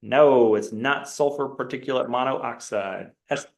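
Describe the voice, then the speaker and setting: A man's voice: mid-range voice, measured pace, creaky. Man quizzing a class in an echoey room. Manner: reads multiple-choice options with rising questioning tone. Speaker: instructor. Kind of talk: classroom quiz review game. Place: lecture classroom.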